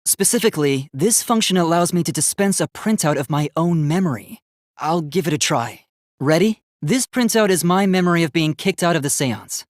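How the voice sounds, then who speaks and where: Guy doing a polite young robot student for a video game, very formal and logical, pitch goes up and down a lot, super dry close recording. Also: lively intonation, normal speed, no room sound, lightly acted. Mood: earnest, logical. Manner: formal, precise, stiffly logical wording. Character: earnest, polite young male robot student, easily flustered.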